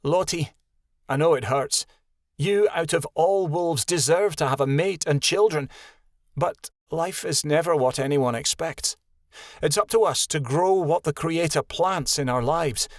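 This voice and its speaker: A man voicing a stern, motherly female wolf elder in a high, gentle voice. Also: a little slow, no room sound, studio quality, natural voice. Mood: comforting.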